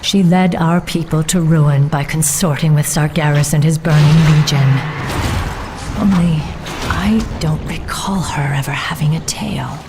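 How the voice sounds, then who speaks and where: Low-voiced, solemn elven warden. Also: female voice, fairly even intonation, background noise. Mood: wary, intrigued. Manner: measured, grave, commanding. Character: stern, solemn female night elf warden, determined hunter. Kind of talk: dramatic fantasy game voice acting.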